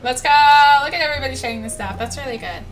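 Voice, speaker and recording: A woman's voice: high-pitched, racing. A young woman casually chatting on a game livestream, rambling and gushing, often repeating herself. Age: young adult. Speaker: streamer, VTuber. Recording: music behind the voice.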